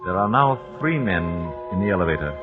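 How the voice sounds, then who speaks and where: An actor playing a grave father narrating a radio drama in a measured, solemn way. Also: on the low side, lively intonation, close to an everyday voice. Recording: dull old radio recording with music.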